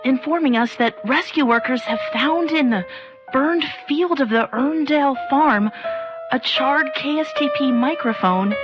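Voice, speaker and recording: An actress playing a formal, composed radio news anchor in a comedic radio drama, with a slightly dull recording. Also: female voice, sits high, unhurried, music in the background.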